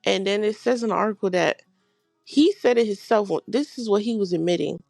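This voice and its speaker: A woman co-hosts a casual podcast, making her points bluntly and with emphasis. Her voice carries a gravelly fry, and the sound is dry and close, with faint music in the background. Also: medium pitch, a little fast, darkish tone, no echo. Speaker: podcast co-host.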